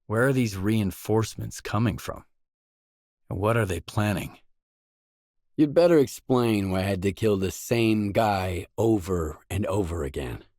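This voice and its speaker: A male actor plays a wary stalker from a video game, a blunt loner with a low voice. He talks in terse, matter-of-fact questions and short replies. The recording is dry and close, with no room on it. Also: a little slow, darkish tone, no echo, close to an everyday voice. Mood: demanding. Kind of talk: video game dialogue lines.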